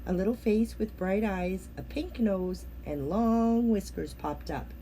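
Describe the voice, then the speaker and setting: Slow, mellow woman reading a children's story. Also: medium pitch, a little hiss or hum, low-quality recording. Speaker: storybook reader. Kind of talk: expressive children's story narration.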